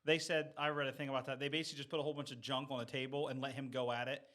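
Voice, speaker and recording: A man's voice: medium pitch, little pitch movement, racing, fairly bright tone. A man in a casual group chat, telling stories earnestly and repeating phrases as he goes. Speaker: discussion panelist; teacher.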